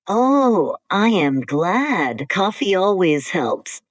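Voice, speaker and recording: A woman co-hosting an English-learning podcast, speaking slowly and carefully in simple scripted sentences. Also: medium pitch, sing-song, no echo, clean studio sound. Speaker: podcast co-host.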